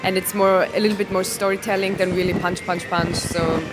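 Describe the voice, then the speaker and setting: A woman's voice: fairly low pitch, little pitch movement, over loud music, low-quality recording. Austrian woman comedian, laughing often. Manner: laughs often, halting non-native phrasing. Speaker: stand-up comedian, interview guest. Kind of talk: casual interview answers. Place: music venue.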